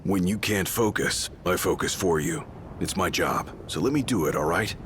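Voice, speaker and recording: A gruff, low-voiced royal bodyguard from a video game, speaks over loud rumbling noise. He is blunt, commanding and fiercely protective, and his pitch moves about in a lively way. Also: moderate pace. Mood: firm, proud. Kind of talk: dramatic video game voice acting. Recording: loud rumbling effects behind the voice.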